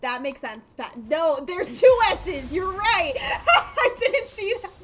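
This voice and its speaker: A woman's voice: extremely high pitch, big pitch swings, takes it slowly, a bit bright, a little hiss or hum. A woman game streamer chatting casually to her live audience in a playful, rambling way, repeating phrases. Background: game music.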